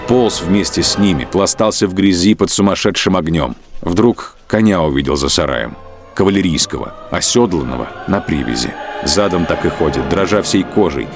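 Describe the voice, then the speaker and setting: A man giving a measured, dramatic literary narration of a war story for an audio drama. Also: deep voice.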